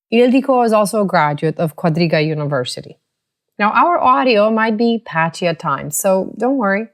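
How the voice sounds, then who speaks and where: A woman hosting an interview podcast, reading a scripted intro and then asking measured questions. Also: medium pitch. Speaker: podcast host.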